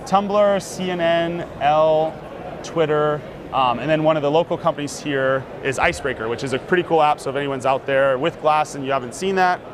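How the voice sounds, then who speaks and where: Relaxed man demoing a gadget outdoors. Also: a little high, fairly quick. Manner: relaxed, explains with fillers. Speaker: interviewee demonstrating a gadget. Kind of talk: casual demo talk. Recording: noisy outdoor street sound.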